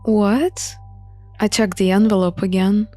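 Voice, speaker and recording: Slow-paced woman narrating a visual novel. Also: medium pitch, steady tone, dark tone, faint music behind. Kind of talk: scripted first-person story narration.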